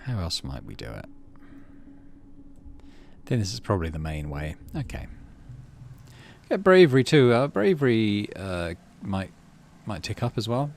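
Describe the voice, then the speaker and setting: A man's voice: on the low side, wildly swooping pitch, measured pace, gravelly, long pauses, darkish tone, a little hiss or hum. A man casually commentating on a game, thinking aloud in murmured asides and reading story text out. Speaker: gaming channel host.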